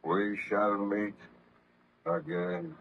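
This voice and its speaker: An actor playing a brooding, vengeful monster, speaking slowly and formally with menace in 1930s radio drama style. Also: male voice, on the low side, expressive, vocal fry, a little hiss or hum, full character voice. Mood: sinister calm. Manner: slow, menacing, deliberate, formal. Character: brooding, vengeful male monster, hideous murderous creation. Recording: dull lo-fi old radio recording.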